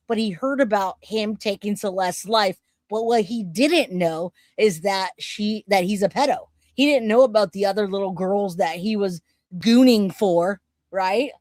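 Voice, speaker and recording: A woman in her forties hosting a livestream, chatting casually with viewers in an animated, joking, slangy way. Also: medium pitch, dry recording. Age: 40s. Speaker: livestream host reacting to news.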